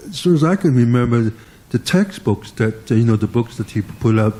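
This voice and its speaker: An older man in the audience asking a long question, halting often as he searches for words. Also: medium pitch, expressive, dark tone. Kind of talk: question from the floor.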